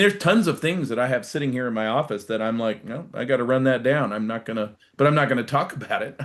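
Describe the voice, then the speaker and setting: A middle-aged or older American man speaking as a guest commentator, explaining things conversationally in a measured way with emphatic stresses. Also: medium pitch, a bit bright. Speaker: guest commentator, retired military. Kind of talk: live political commentary, conversational.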